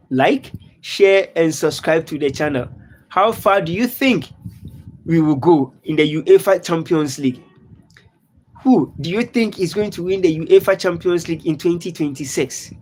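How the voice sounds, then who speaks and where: Ghanaian man with a high voice, earnest live-stream football host, repeats himself. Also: darkish tone. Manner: repetitive, earnest, reads out viewer comments. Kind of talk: casual football talk to live viewers. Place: lives in Dubai.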